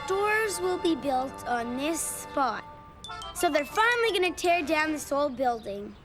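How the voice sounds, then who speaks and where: A woman voicing an excitable, wary little club boy in a cartoon, prone to exclaiming. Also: high-pitched, measured pace. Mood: curious. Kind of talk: cartoon voice acting. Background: loud cartoon music.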